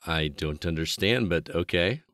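A man hosting a video game podcast in casual chat, his voice slightly hoarse, upbeat and chatty, often trailing off low at phrase ends. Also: moderate pace, fairly bright tone, dry recording. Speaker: podcast host.

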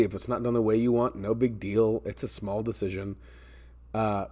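A man's voice: fairly low pitch, a little mellow. An American man in his forties talking to camera in a conversational, explanatory way, repeating words for emphasis as he gives business advice. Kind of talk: casual advice talk to camera. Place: indoor office space with metal ceiling and glass garage door.

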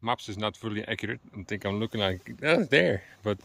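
A man's voice: fairly low pitch, expressive, brisk, vocal fry, a bit bright. A man whose first language is Dutch, chatting playfully while walking in a forest and searching for English words. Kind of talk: casual chat. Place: outdoors in a forest.

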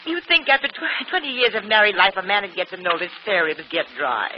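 An actress playing a weary, bossy, middle-aged vaudeville wife, scolding plainly in a 1940s radio play. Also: female voice, medium pitch, sing-song, fairly quick, close to an everyday voice. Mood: fussing, bossy. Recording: muffled, noisy old radio broadcast.

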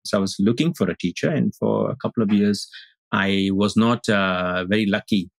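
A middle-aged man from Singapore, a teacher speaking as a podcast guest over a video call, thoughtful and halting with many restarts. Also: fairly low pitch, gravelly, dry recording. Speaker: guest, Advaita Vedanta teacher. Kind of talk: casual interview talk. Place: indoor room on video call.

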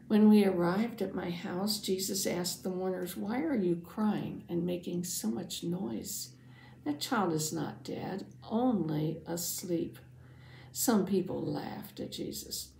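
A woman voicing a devout, anxious father who earnestly tells his Bible story, dramatically, with hushed phrase endings. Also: lowish voice, creaky, slight background noise. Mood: tense, hopeful. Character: devout anxious father, synagogue leader, telling his testimony. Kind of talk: first-person Bible storytelling for families. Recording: roomy, echoing sound.

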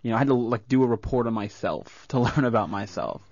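A man's voice: mid-range voice, expressive, quick pace, darkish tone, no echo. A young man from rural Florida, a comedian guest, talking earnestly about his past in a radio studio. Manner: earnest, reflective, with fillers. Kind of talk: casual interview chat. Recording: dull lo-fi studio sound.

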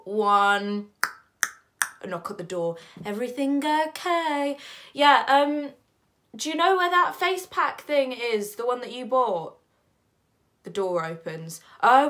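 A woman's voice: on the low side, a bit bright. A woman acting a theatrical monologue as a weary, sardonic, foul-mouthed character, telling her story in a wry, dramatic way with exaggerated quoted voices. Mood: weary, irritated.

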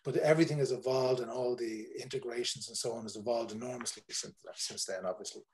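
A man's voice: medium pitch, steady tone, quick pace, a little mellow, a little hiss or hum, rough recording. Rambling Irish man on a video call. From Ireland. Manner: rambling, many fillers and restarts. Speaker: tour operator guest. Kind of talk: casual video call interview. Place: home room, indoors.

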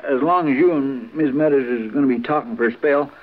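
An actor playing a folksy, earnest frontier deputy with a rural American Western drawl in a 1950s radio drama. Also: male voice, mid-range voice, brisk, close to an everyday voice. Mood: griping. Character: folksy, earnest, penniless deputy in the Old West. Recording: dull vintage 1950s radio recording.

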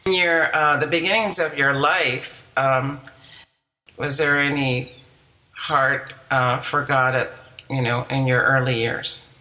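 A woman hosts a radio interview program with a low-pitched voice. She introduces the show and asks her questions calmly, at a measured pace. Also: low-quality recording.

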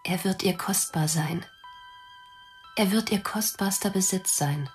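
An actress in an audio drama playing a devoted, grieving wife, speaking in a hushed, tender, breathy way. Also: female voice, medium pitch, a little slow, faint music behind, lightly acted. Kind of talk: German audio drama acting.

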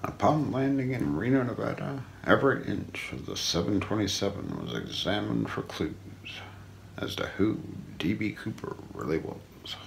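Male ASMR narrator, heavy fry, very wide swings in pitch, hushed and deliberate scripted delivery. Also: very animated. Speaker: ASMR role-play performer. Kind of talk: ASMR, scripted mystery narration role play. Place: small indoor room. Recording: faint background noise.

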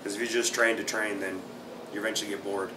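Low-voiced man in his thirties, roomy echo. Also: fast. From Brazil, raised in South Florida. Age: 30s. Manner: relaxed, many fillers like uh and you know. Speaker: jiu-jitsu fighter and instructor. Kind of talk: casual interview answers. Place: small plain room indoors, seated in office chair. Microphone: camera at a distance. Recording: echoey room, distant mic, background hum.

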